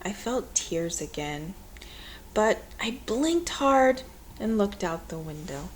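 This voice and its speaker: A woman reading a children's story aloud in an expressive, measured storytelling manner. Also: medium pitch, slight background noise, low-quality recording. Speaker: storybook reader.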